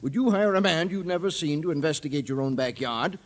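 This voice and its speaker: A cautious small-town mayor acted by a man from 1950s radio drama. His voice is on the high side, with a flustered, hesitant, protesting way of talking. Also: expressive, a little fast, lightly acted.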